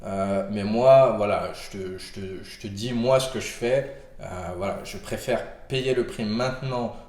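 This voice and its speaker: A man's voice: on the low side, unhurried, darkish tone, roomy sound. A man with a cold giving casual health advice to camera, rambling with many fillers and restarts. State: has a cold.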